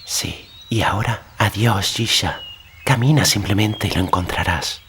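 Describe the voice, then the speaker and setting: A man voicing a kindly, earnest young boy in an audiobook, gentle and solemn. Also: on the low side, unhurried, a little hiss or hum, voice pushed up. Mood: encouraging.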